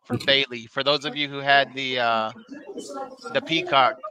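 A man's voice: mid-range voice, steady tone, measured pace, lo-fi sound, a crowd in the background. A man co-hosting a live wrestling watch-along podcast, reading out viewer comments and laughing often. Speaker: podcast co-host. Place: noisy bar during a live show.

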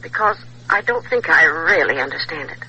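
An actress playing a secretive, insistent housekeeper, heard as a telephone voice in an old radio thriller. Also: female voice, low-pitched, animated, normal speed, bright, forceful tone, slightly rough, full character voice, poor audio.

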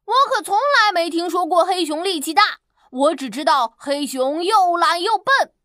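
A tiny, quick-witted little boy who teases and outsmarts a bear. The voice is very high, bright and bouncy, recorded dry and close. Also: expressive, dry recording, heavily acted. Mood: taunting. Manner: cheeky, taunting. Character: small clever brave farm boy.

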